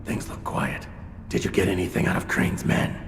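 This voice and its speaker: An actor playing a grim, resolute masked vigilante hero in a video game, with a terse, growling rasp. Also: male voice, moderate pace, close to an everyday voice. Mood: urgent, commanding. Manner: terse, intimidating. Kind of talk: video game cutscene acting. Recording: loud music behind.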